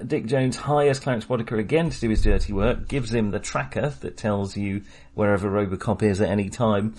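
A man's voice: medium pitch, darkish tone. A man co-hosting a casual film podcast, talking with many fillers and small laughs. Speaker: podcast co-host.

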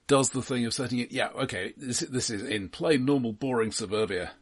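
A man co-hosting a casual film discussion, explaining his points in a halting, measured way. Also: medium pitch, husky. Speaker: film podcast co-host.

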